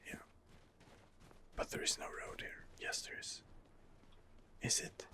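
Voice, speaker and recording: A man hosting an ASMR game playthrough, muttering hesitant commentary in a breathy whisper. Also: slow. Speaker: gaming video host.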